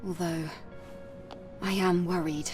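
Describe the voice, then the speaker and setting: An actress playing a caring, troubled young female warrior in a video game, soft and earnest. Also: lowish voice, fairly even intonation, takes it slowly, distant mic, music in the background. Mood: worried, earnest.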